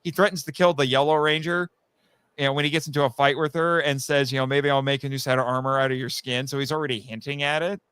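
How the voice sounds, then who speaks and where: A man talking casually as a guest about his writing, telling stories in an animated way and acting out quotes. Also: medium pitch, fast, clean tone, no room sound. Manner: animated storyteller, acts out quotes. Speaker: guest, audio drama writer. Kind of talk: casual chat.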